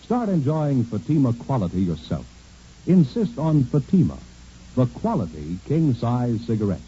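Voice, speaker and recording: Male commercial announcer, 1950s style; lively emphatic pitch swings, muffled vintage broadcast sound. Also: moderate pace. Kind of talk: 1950s American radio advertisement, emphatic. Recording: dull, muffled old radio recording.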